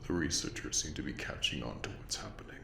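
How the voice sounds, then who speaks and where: An extremely deep, gravelly voice belongs to a courtly plague doctor creature, acted by a man. He speaks slowly and formally in a breathy murmur, with calm menace and obsessive interest. It is an audio roleplay with a roomy echo around the voice. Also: heavily acted. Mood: possessive, threatening. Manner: slow, formal, old-fashioned diction, softly menacing. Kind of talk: audio roleplay monologue addressed to the listener. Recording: roomy echo with faint background noise.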